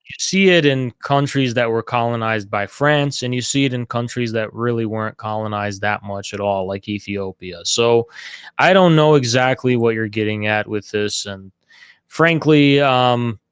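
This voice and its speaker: A man's voice: medium pitch, moderate pace, clear, resonant tone, no echo. A man speaking in a live online political debate, arguing emphatically and repeating himself, with some swearing. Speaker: debater leading a political group. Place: live online audio chat room.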